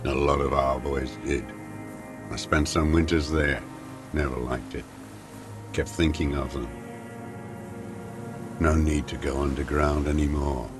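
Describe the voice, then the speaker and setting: An actor playing a bitter, weary old communist deserter and former commissar in a video game, speaking in terse, brooding phrases with a smoker's rasp. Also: male voice, rumbling low, steady tone, unhurried, pauses often. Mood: grim, brooding. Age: older. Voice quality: gravelly, coughing smoker's voice. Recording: music under the voice.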